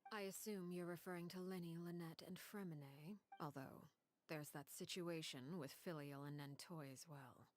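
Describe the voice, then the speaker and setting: A strict, unbending syndicate boss played by a woman in an anime game. Her voice is on the low side, cool and measured. She sounds condescending and quietly menacing, over faint noise. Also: steady tone, close to an everyday voice. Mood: cold, menacing. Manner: composed, measured, condescending, veiled threats.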